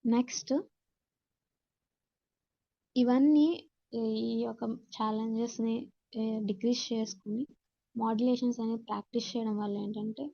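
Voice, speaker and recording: A woman's voice: on the high side, steady tone, moderate pace, creaky, pauses often, dark tone, no echo. A woman with a Telugu accent teaching in an instructional classroom-lecture manner, mixing in English terms, on dull, muffled audio. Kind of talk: classroom lecture reading out points and explaining them.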